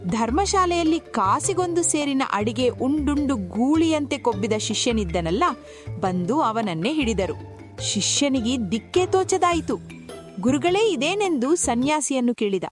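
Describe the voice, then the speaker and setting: A woman narrating a scripted children's folktale in an expressive storytelling manner. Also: high voice, moderate pace. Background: background music.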